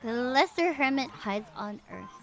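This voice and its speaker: A young woman livestreams casual game commentary in a bubbly, expressive, playful way, chatting with viewers as she plays. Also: fairly high pitch, unhurried, gravelly, faint music behind. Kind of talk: casual gameplay commentary with chat replies.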